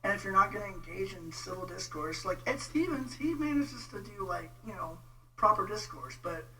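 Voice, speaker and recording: A man with a Texan accent giving an informal, halting, exasperated rant on a casual livestream. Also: sits high, expressive, bright, forceful tone, faint music behind. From Texas, United States. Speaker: vlogger, religious debate commentator. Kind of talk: casual livestream rant replying to viewer comments. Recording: distant mic with faint noise and hum.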